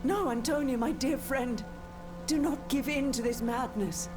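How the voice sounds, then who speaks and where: A woman's voice: high voice, measured pace. An actress playing a devoted lady-in-waiting in a video game, courtly and solicitous toward her queen. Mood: pleading. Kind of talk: dramatic video game cutscene acting. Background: music.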